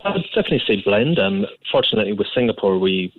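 Scottish man, deep voice, conversational, interview guest, chef, dry studio-like sound. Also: animated, rapid-fire, no echo, narrow, tinny audio. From Scotland.

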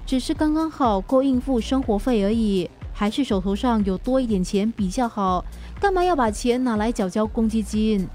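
An actress plays a thirty-something wife with a high, emotive voice. She is earnest and easily wounded, pleading her case in radio-drama style. Faint music sits behind her. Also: animated, moderate pace, warm tone, close to an everyday voice. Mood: weary, aggrieved. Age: 30s.

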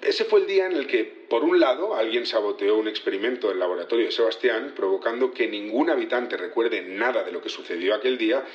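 A man's voice: medium pitch, fairly quick, hardly any pauses, close to an everyday voice. Man acting as security chief on the witness stand, audio drama, polite n a bit hesitant, echoey dull sound. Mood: earnest, explanatory. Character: earnest male security chief testifying in court. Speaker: witness in a trial.

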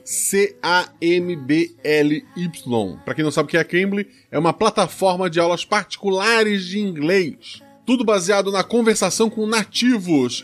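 A man from Brazil hosting a tabletop roleplaying podcast, reading an ad and explaining the game rules in a chatty, informal, persuasive way. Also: high voice. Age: adult, played RPGs since youth. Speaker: game master and podcast host. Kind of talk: podcast ad read and rules intro.

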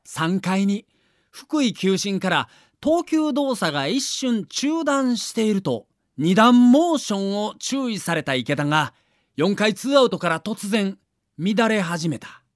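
A man's voice: high-pitched, very animated, a little slow, no echo, studio quality. A man giving dramatic scripted narration for an audio documentary.

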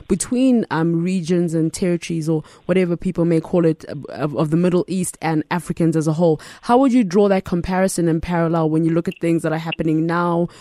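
A woman's voice: fairly low pitch, quick pace, few pauses, mellow, no room sound, studio quality. A woman hosting an interview, asking long, rambling, enthusiastic questions full of fillers. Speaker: host. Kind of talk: casual interview talk.

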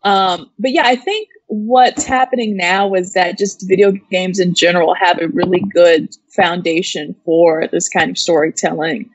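A woman's voice: on the low side, moderate pace, few pauses, slightly rough, dry recording, rough recording. A woman co-hosting a casual chat show, explaining informally and thinking aloud as she goes. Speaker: co-host of an entertainment news live show.

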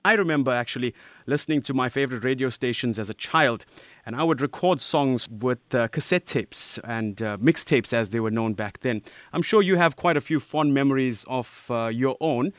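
A man's voice: medium pitch, moderate pace, dry recording. A man hosts a conversational radio interview, putting questions to a guest.

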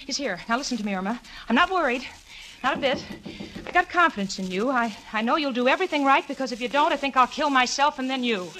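An actress playing an exasperated, sardonic young working woman in a 1940s radio sitcom, wry and fretful. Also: high voice. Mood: nervous, fretful. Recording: noisy old 1940s radio recording.

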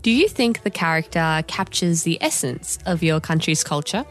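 A woman's voice: sits low, expressive, moderate pace, faint music behind. A woman reporting a news feature and asking interview questions. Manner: scripted reporting.

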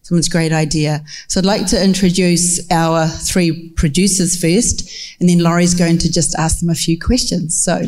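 Co-moderator: New Zealand woman, relaxed warm timbre, lower pitch, live welcome speech. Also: moderate pace. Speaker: co-moderator, film commission representative. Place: live festival talk, handheld microphone.

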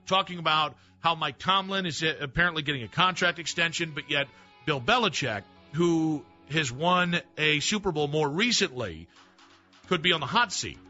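A man on sports talk radio, building a measured argument and citing stats. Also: on the high side, sharp tone. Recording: slightly dull radio broadcast with faint music.

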